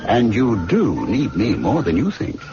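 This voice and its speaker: A man's voice: lowish voice, huge pitch swings. An actor playing a sly, teasing, confident young medical man who needles and banters, in an old-fashioned radio drama. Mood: sly, amiable. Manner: needling, bantering, drawn-out questioning. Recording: dull lo-fi radio recording, music.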